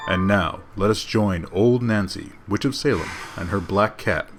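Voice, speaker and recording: Low-voiced male announcer, very noisy recording. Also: moderate pace. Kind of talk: scripted radio drama introduction.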